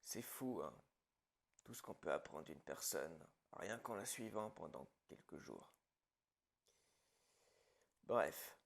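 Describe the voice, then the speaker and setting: A man playing a calm psychopathic killer in an ASMR roleplay, speaking lines in a hushed, darkly casual way that slips into whispers. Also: deep voice, slow pace, creaky, long pauses, exaggerated voice. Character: calm, menacing male psychopathic killer, falsely reassuring his captive.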